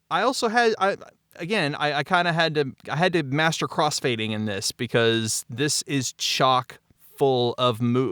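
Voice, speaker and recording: A man with a medium-pitched voice explains production work in an informal way. He talks haltingly, repeating phrases as he goes, with a close, dry sound. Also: a bit bright, dry recording. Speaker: show creator and audio editor. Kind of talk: casual behind-the-scenes talk.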